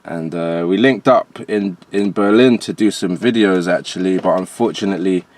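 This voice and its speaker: A man with a low, deep voice and a transatlantic accent. He is an interview guest from Jamaica, a rapper who speaks in loose, slangy, conversational phrases. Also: moderate pace. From Jamaica, later moved to England. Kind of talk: casual interview talk.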